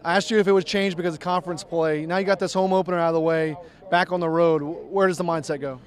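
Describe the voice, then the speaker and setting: A man's voice: fairly high pitch, fairly even intonation, fast. A young man, a sports broadcaster, leading a postgame sideline interview outdoors with a handheld mic, in upbeat play-by-play phrasing. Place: outdoors on a football field.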